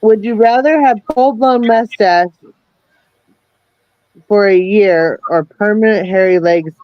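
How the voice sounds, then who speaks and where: A woman's voice: medium pitch, takes it slowly, no room sound. A woman chatting casually on a livestream, playfully reading out would-you-rather questions. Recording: dull lo-fi call audio.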